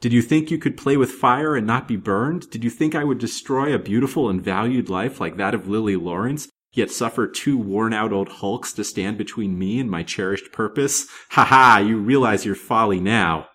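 A man's voice: lowish voice, big pitch swings, moderate pace, slight background noise. A man voicing a wicked, scheming widow in a story reading, theatrical, taunting and triumphant. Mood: gloating triumph.

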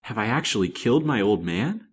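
A man's voice: lowish voice, sing-song, fairly quick, breathy edge. A man voicing a fierce, greedy old woman with a defiant, shrieking manner in a dramatic story reading. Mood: dazed wonder. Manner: shrieking, loud defiant outbursts.

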